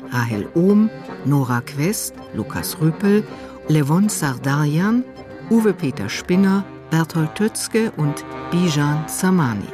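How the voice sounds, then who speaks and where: Formal announcer over prominent music. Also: mid-range voice. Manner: formal, list-like reading. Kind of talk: scripted radio drama credits. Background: music under the voice.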